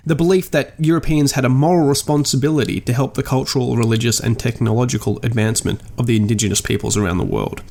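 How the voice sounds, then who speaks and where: A man narrating a scripted video essay as a podcast host, with dry, wry understatement and articulate, formal wording. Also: fairly high pitch.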